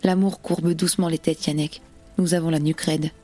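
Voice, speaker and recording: A proud, sorrowful revolutionary in radio theatre. Her lowish voice is solemn, grave and lyrical, each phrase measured, over faint music. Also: little pitch movement, warm tone. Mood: grave, bitter. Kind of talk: French radio theatre acting.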